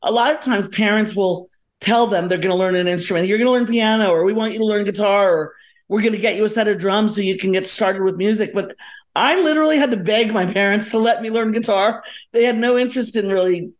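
Mature woman from South Florida, mid-pitched voice, chatty, digressive, self-correcting, casual interview reminiscing. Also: fast talker. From South Florida, United States. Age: middle-aged or older. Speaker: podcast guest, musician and educator.

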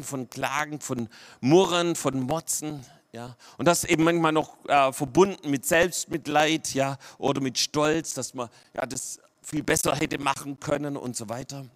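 A man from Swabia, preaching a sermon to his congregation, often checking in with "ja?" and dropping to a near-whisper for emphasis. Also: on the high side. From Swabia, Germany. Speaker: pastor.

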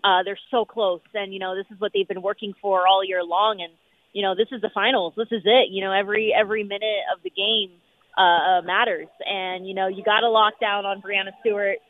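A woman sports reporter calling in from an arena, upbeat and full of fillers, her voice going hoarse. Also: mid-range voice, steady tone, no room sound. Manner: upbeat, rapid, many fillers. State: losing her voice. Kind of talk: phone-in sports radio chat. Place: noisy arena. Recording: tinny phone line.

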